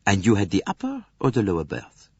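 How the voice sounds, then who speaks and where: A man voicing a fastidious, courteous older male detective who questions politely and orderly. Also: on the low side, huge pitch swings, slightly rough, no room sound, full character voice. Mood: probing. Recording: dull dry studio recording.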